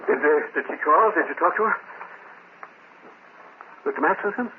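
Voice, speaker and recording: An actor playing a worried, authoritative male doctor in an old-time radio drama, measured and educated but urgent. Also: fairly high pitch, lively intonation, natural voice. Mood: anxious, urgent. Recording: muffled old radio broadcast, faint noise.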